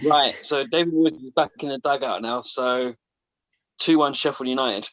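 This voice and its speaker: A man giving a quick football prediction, brisk and confident. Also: medium pitch, a bit bright, dry recording, rough recording. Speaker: guest pundit. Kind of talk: casual football chat.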